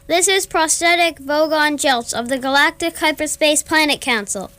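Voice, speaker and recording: A woman's voice: high voice, normal speed, pushed and bright, dry recording. A woman playing an officious, impatient alien bureaucrat commander in an amateur radio comedy. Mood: officious announcing.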